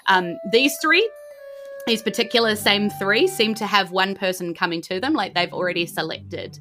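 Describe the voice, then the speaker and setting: A woman's voice: medium pitch, normal speed, pushed and bright, soft background music. A woman with a New Zealand accent improvising narration as a tabletop game master, informal and often groping for words.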